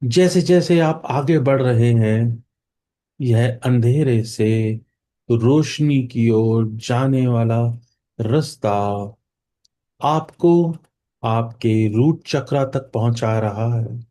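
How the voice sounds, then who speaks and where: Very slow, mellow man guiding meditation, Indian accent. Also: medium pitch. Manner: slow, soothing delivery with long pauses. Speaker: guided meditation instructor. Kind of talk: guided meditation narration.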